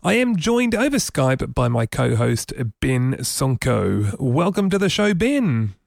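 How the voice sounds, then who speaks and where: A man's voice: mid-range voice, sing-song, slow, creaky, no echo, studio quality. Enthusiastic New man hosting. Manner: enthusiastic, explains at length with frequent fillers. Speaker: podcast host. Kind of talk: casual tech chat. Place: radio studio.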